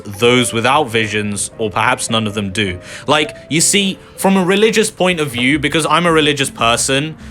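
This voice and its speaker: A man's voice: medium pitch, bright, forceful tone. A young man casually commenting on a game as he plays, chatty and rambling with many fillers. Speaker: gaming video host. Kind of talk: casual let's-play commentary.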